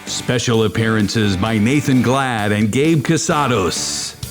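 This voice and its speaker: A man reading a scripted show introduction as a voice-over announcer. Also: fairly low pitch. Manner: measured, announcing. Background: guitar music.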